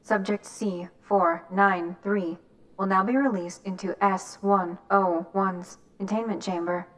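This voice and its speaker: A woman's voice: medium pitch, steady tone, measured pace, close to an everyday voice. Formal female facility announcer, played by a woman. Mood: formal, procedural. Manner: formal, procedural. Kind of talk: scripted facility safety announcement.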